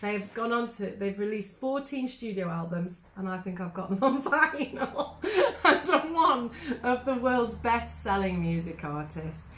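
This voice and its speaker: A woman's voice: medium pitch, fairly bright tone, reverberant, a little hiss or hum. An English woman hosting a singalong, chatting casually, laughing often and reading facts aloud. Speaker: singing teacher and host. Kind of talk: casual chat.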